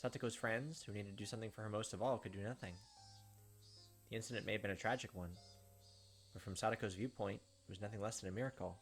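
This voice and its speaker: A man's voice: fairly low pitch, fairly even intonation, quick pace. A man hosting a gaming video, reading a visual novel aloud and adding casual commentary over game music. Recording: music behind the voice.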